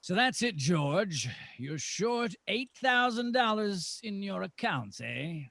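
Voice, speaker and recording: An actor playing a cold, sneering old banker in 1940s radio drama, with a gravelly voice and deliberate, probing delivery. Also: male voice, high voice, sing-song, a bit bright, full character voice. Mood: cold contempt. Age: older.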